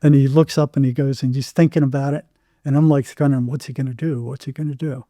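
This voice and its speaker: Older american guy telling stories on a podcast, really mellow dark tone, close dry sound. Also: mid-range voice, steady tone, fairly quick, no room sound. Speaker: psychotherapist interview guest. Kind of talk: casual anecdotal storytelling.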